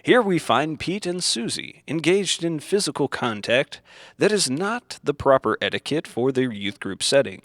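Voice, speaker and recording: A man reading scripted instructional narration in the manner of an old educational film, deliberate and didactic, in simple phrases. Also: fairly high pitch, sing-song, fairly bright tone, no room sound, clean studio sound.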